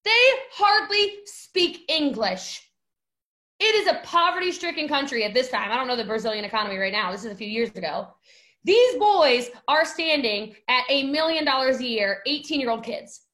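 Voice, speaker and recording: A woman in her thirties, a guest telling a story in casual chat, animated and acting out quotes with lots of fillers. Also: high voice, normal speed.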